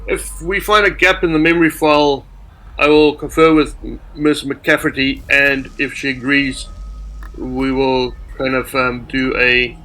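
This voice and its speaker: A man playing a tabletop roleplaying game, setting out his character's plans in a measured way. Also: mid-range voice, steady tone, sharp tone, low-quality recording.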